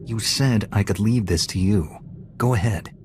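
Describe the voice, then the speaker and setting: A man's voice: on the low side, measured pace, darkish tone, soft background music, close to an everyday voice. An actor playing a principled young male Jedi knight in a video game, earnest and resolute. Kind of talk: dramatic video game dialogue.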